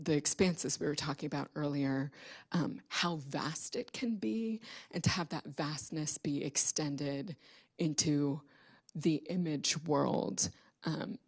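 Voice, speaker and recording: Low-voiced, thoughtful, academic woman. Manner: measured, thoughtful, academic, pausing mid-phrase. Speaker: panelist and translation collaborator. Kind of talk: reflective unscripted discussion at a public event.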